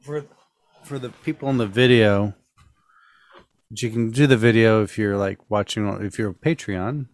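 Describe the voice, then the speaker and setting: A man's voice: fairly low pitch, moderate pace, pauses often, dry recording. Man chatting casually, dry close sound. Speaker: podcast host. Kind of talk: casual chat.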